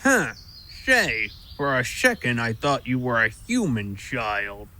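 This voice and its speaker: Casting: a proud, easily offended giant squirrel for audio drama, bright forceful delivery, unhurried, blustering. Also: male voice, medium pitch, big pitch swings, heavily acted. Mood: boastful, storytelling. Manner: blustering, boastful storytelling. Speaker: voice actor. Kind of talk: audio drama character acting.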